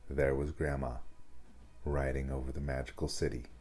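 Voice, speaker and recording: Very deep-voiced man reading a bedtime story. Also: moderate pace, soft background music. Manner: expressive, dramatic storytelling. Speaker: storybook reader. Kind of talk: scripted children's bedtime story narration.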